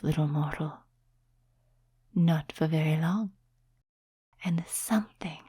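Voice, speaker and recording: Ancient female demon, played by a woman, ASMR roleplay: dark, lowish whisper, teasing condescension, close dry mic. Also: no room sound, full character voice. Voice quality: breathy. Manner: slow, teasing, soft-spoken whispering. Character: ancient seductive demon, mocking, amused, condescending to mortals. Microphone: close, dry microphone.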